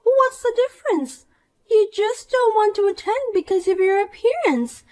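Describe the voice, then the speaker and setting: A woman's voice: extremely high pitch, animated, normal speed, darkish tone, pitched above the performer's own voice. A woman voicing a vain, spiteful young woman who was once the most popular girl at school, talking down to an old classmate. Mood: scornful. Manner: condescending, insulting, bossy. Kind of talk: animated story dialogue.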